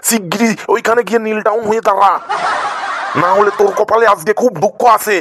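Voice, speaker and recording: A man's voice: high voice, steady tone, a little fast, background noise, lightly acted. A man playing an exasperated, scolding schoolteacher in a comedy cartoon, lamenting dramatically. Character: exasperated, scolding male village school English teacher.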